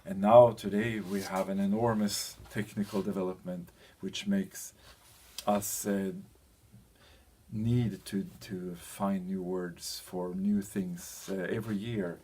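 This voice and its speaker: Low, husky male lecturer, halting delivery. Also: level tone, dark tone. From Europe. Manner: halting, hesitant phrasing with pauses. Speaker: language teacher, lecturer. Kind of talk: informal explanatory lecture.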